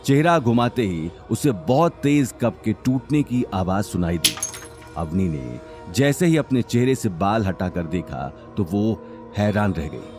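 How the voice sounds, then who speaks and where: Man, story narrator, lowish pitch, animated delivery, dramatic scripted reading, music bed. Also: a little slow.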